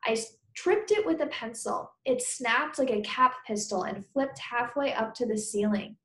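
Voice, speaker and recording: A woman's voice: a little high, animated, moderate pace, a bit bright. Woman teacher reading aloud in echoey room. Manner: conversational, explanatory asides. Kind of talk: children's read-aloud with questions to pupils.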